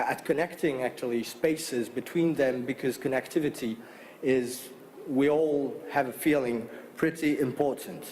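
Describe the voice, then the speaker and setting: A man in his forties with a French accent, giving a conference talk from a podium in a large hall, halting with many fillers and restarts. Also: medium pitch, a little mellow, slight background noise. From France. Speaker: conference speaker. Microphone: podium microphone.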